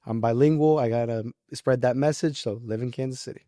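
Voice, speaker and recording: A young man in his early twenties with a mellow, relaxed voice, recorded very dry and close. He speaks as a podcast guest in casual, polite, informal explanations. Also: medium pitch, fairly quick, no echo. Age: early 20s. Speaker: podcast guest, real estate agent. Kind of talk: casual interview talk, explaining his approach.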